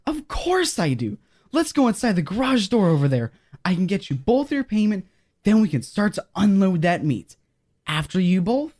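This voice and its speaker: A woman's voice: mid-range voice, very animated, moderate pace, a little mellow. A woman playing a scheming, upbeat assistant and kids' show host in a horror comedy audio drama. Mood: chipper, businesslike.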